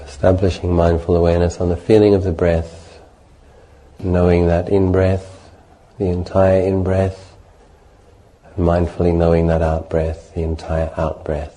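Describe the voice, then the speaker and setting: A man leading a guided meditation as a Buddhist teacher, speaking in a measured, gentle way with deliberate pauses between short phrases. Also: deep voice, completely flat delivery, rough recording.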